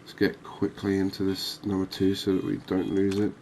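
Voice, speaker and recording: A man giving calm, unhurried ASMR-style commentary on a fishing game, explaining things as he plays. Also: sits low, a little hiss or hum. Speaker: gaming video host.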